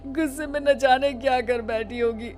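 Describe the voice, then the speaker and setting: A woman's voice: sits high, steady tone, normal speed, darkish tone, lightly acted. A woman voicing a teasing young husband. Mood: worried. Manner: playful, then worried pleading. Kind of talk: cartoon dubbing. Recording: faint music behind.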